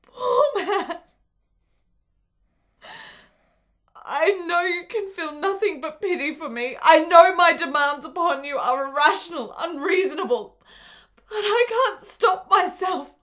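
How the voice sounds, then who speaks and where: A woman's voice: very high voice, expressive, unhurried, pushed and bright, exaggerated voice. A woman playing a sickly, desperate, intense young woman in a period radio drama, with formal, literary, emotionally fraught diction. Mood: anguished, pleading.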